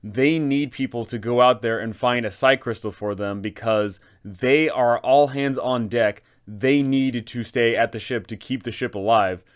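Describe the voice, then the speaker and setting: Male host for roleplaying explainer: smooth, clean voice, mid pitch, informal and emphatic game master narration. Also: a little slow, few pauses.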